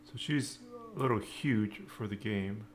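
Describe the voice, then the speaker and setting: A man giving a casual coding screencast, thinking aloud in halting, unscripted phrases. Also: lowish voice, slight background noise. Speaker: programming tutorial presenter.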